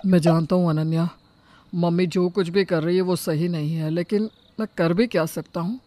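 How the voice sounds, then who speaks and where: An actor voicing a mild young husband who tries to calm his wife and mother. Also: medium pitch, normal speed, dry recording, lightly acted.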